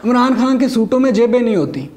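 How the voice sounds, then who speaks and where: A man's voice: very high-pitched, lively intonation, fast talker, a little mellow, slight background noise. A middle-aged man with a Pakistani accent delivering a scripted satirical comedy monologue as a stand-up comedian. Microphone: lapel mic.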